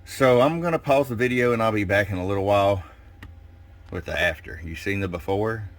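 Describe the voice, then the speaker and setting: A man's voice: lowish voice, a little fast. A man giving a casual, plain-spoken hands-on demonstration as a radio technician.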